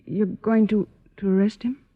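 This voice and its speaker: An actress playing an anxious sister who pleads hesitantly and earnestly in an old-time radio drama. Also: female voice, medium pitch, steady tone, moderate pace, lightly acted. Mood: anxious pleading. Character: anxious, pleading older sister of wayward boy. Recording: dull, lo-fi old radio recording.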